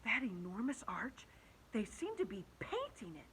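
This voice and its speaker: A woman voicing a brave young boy in a storybook reading, whispering or calling out excitedly. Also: high-pitched, unhurried, slight background noise, voice pushed up, heavily acted.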